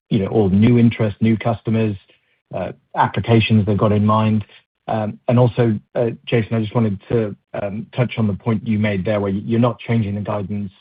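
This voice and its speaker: A man's husky, fairly low voice with a flat, even delivery, heard over a dry, phone-like line. He is an analyst who phrases his question politely and hesitantly, leaning on "um" and "uh". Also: no room sound. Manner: polite, hesitant, many fillers. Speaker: financial analyst. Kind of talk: earnings call question.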